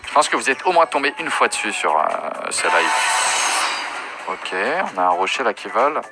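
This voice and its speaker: Animated young man reacting over loud music. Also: lowish voice, moderate pace. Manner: slangy, chatty, addresses viewers. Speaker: YouTube reaction host. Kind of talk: casual reaction commentary.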